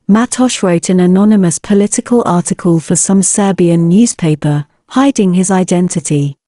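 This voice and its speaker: A woman narrating a scripted history lecture in an even, read-aloud manner. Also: mid-range voice, dry recording, clean studio sound.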